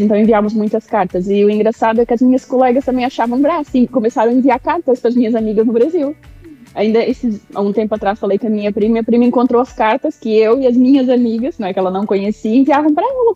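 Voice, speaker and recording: A woman in her mid-thirties from Brazil, with a Brazilian accent tinged by European Portuguese, speaking as a guest in a remote interview in a reflective, halting way with restarts. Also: on the high side, mellow, muffled recording, faint music behind. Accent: Brazilian with European Portuguese touches. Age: mid-30s.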